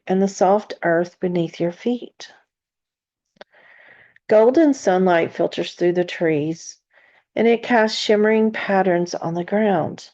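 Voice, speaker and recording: A woman hosts a guided meditation at a very unhurried pace. Her voice is mellow and dark, with gentle, deliberate phrasing and long pauses between thoughts. The recording sounds slightly dull and muffled. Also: on the low side. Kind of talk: slow, soothing guided meditation narration.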